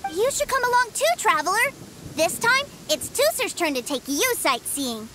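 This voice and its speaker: Eager, very high-voiced little boy. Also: sing-song, normal speed, noisy background. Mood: excited. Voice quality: childlike. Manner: earnest, bouncy. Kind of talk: video game voice acting.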